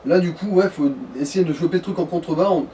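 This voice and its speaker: A man with a soft-edged, mellow voice, a little high guides a player through a game. He explains casually in colloquial phrases. He sounds slightly away from the mic. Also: fast talker. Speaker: co-commentator guiding the player. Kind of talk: casual gaming chat giving directions. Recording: slightly distant, noisy voice recording.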